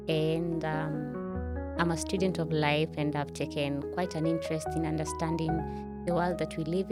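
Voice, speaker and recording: A Kenyan woman around thirty, a podcast guest in a studio, telling her story in a reflective way with many fillers. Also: low-pitched. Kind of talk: casual interview talk.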